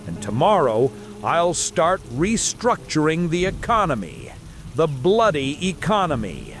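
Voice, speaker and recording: A man's voice: fairly high pitch, very animated, a little slow, a bit bright, slight background noise. A man playing an arrogant, domineering ruler of a new world, speaking with grandiose emphasis in an apocalypse radio drama. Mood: arrogant, gloating.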